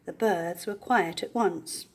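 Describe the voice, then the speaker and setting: An older woman reading a children's picture book aloud with deliberate, theatrical expression. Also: lowish voice, breathy edge.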